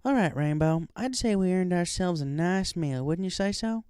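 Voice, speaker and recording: A man's voice: sits high, lively intonation, normal speed, darkish tone, clear, resonant tone, no echo, clean studio sound, pitched above the performer's own voice. A man voicing a hearty female farm pony who gives folksy, encouraging instruction in an audiobook story. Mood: cheerful.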